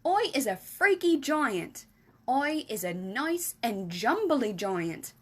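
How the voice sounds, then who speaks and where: A woman's voice: fairly high pitch, big pitch swings, slow pace, pitched above the performer's own voice, heavily acted. A woman voicing a big friendly male giant for a children's storybook, exuberant and kindly, with mangled grammar and emphatic nonsense words. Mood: indignant, then proud. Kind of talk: animated children's storybook reading.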